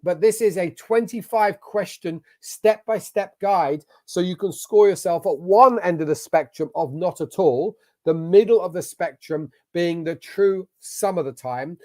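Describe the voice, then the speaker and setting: A man's voice: high-pitched, a little slow, hardly any pauses, mellow. A man with an English accent giving an online masterclass as a business coach, talking in an emphatic, motivational way. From England. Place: at a computer sharing his screen.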